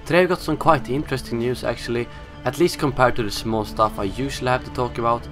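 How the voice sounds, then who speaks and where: A man's voice: medium pitch, steady tone, quick pace, music in the background. A young man whose first language is Swedish, hosting a casual, opinionated gaming news show in a scripted style.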